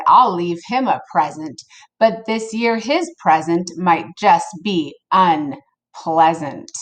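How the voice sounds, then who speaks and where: Woman, mid-range pitch, slow storytelling pace, playful, expressive, children's read-aloud, chatty asides. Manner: expressive, playful, addresses listeners directly.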